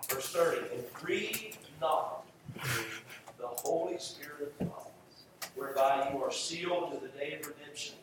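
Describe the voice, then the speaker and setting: A man preaching a church sermon in an emphatic, repetitive rhetorical cadence. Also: mid-range voice. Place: church sanctuary with audience. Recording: echoey room, distant mic, faint noise.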